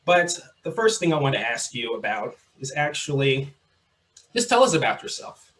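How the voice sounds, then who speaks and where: A young man from Mississippi hosting a podcast, talking in a relaxed, chatty way and repeating his phrases. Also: mid-range voice, normal speed. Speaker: podcast host. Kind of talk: casual interview.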